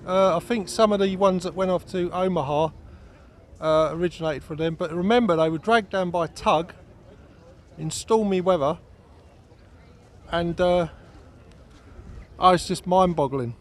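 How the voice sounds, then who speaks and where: High-voiced vlogger, casual and matey. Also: male voice. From England. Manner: chatty, matey, asks quick questions. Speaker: vlogger and interviewer. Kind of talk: casual chat and on-the-spot interviews. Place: outdoors at a busy seaside event.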